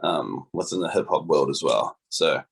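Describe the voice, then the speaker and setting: A young man with a low, husky voice talks loosely and jokily. He is a guitarist in an interview, rambling a little as he explains himself. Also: normal speed. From New Zealand. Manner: joking, casual, rambling. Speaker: guitarist in a band. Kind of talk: casual interview chat.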